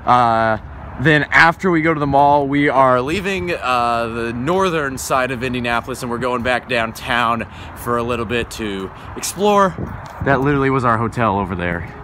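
A young man chatting casually through a travel vlog, filming himself on a phone at arm's length, excitable and saying "literally" a lot. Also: mid-range voice, normal speed, a little hiss or hum. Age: young adult. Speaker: vlogger. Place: car, street and home, phone held.

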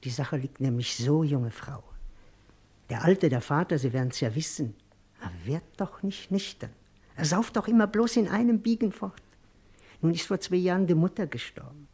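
A man's voice: fairly high pitch, normal speed, a little mellow, slightly rough. A village rag dealer. He is gossipy and insistent, wheedling in a folksy way. It is played as 1960s German radio drama. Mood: lamenting, insistent.

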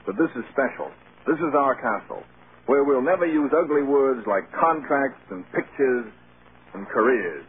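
A man's voice: medium pitch, lively intonation, moderate pace, natural voice. An actor playing a proud, charming, fading male movie star with a wry, teasing manner in a vintage radio drama. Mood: tender. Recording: scratchy, tinny old radio broadcast.

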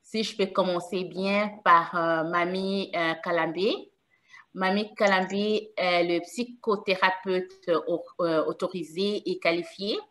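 A woman in her thirties hosts a panel over a video call, her voice sitting somewhat low. She speaks haltingly, pausing often on fillers as she introduces each guest. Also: fairly even intonation, fairly bright tone. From Congo. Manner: frequent filler hesitations. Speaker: panel host. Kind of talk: hesitant moderated panel talk.

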